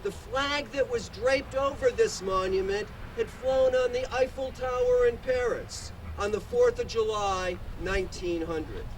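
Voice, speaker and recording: A man in his sixties, a museum director, giving a measured commemorative speech outdoors, reading dates and historical detail in a loud voice. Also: very high-pitched. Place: outdoors at a podium in a park. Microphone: podium microphone, distant. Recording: distant podium mic, outdoor traffic hum.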